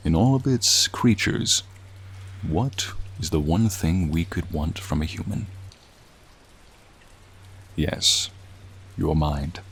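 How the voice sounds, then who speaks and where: Man voicing a slow, deep-voiced gentle dragon. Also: heavily acted. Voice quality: low, creaky, soothing. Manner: slow, formal, tender, reflective storytelling. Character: gentle, wistful male dragon, old-souled and courtly. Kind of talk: soothing ASMR roleplay narration. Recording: faint rain noise behind. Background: rain ambience.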